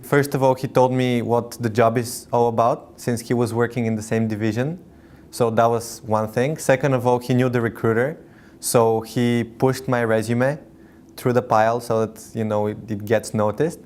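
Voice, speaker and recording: A man's voice: medium pitch, fairly even intonation, normal speed, a little mellow. Encouraging young man from Bulgaria. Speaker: master's student. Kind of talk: testimonial interview.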